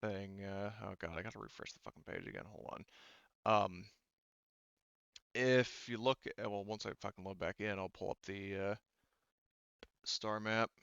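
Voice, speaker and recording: Smooth, halting man running a tabletop game. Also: on the low side, steady tone, a bit bright, no echo. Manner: halting, many restarts and "you know". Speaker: game master. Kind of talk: explaining tabletop game lore, casual. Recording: slightly dull, dry close mic.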